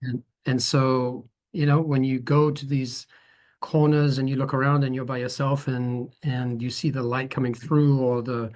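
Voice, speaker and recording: Man, 50s, from the Netherlands, interview guest, thoughtful, rambling, medium pitch. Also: level tone, normal speed, a little mellow, rough recording. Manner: rambling, thoughtful, with frequent restarts. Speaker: guest photographer. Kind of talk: conversational interview.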